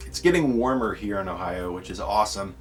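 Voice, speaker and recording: A man's voice: lowish voice, lively intonation, brisk. A young man with a congested, slightly nasal voice, chatting enthusiastically in a casual book vlog. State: congested, stuffy nose. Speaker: book vlogger.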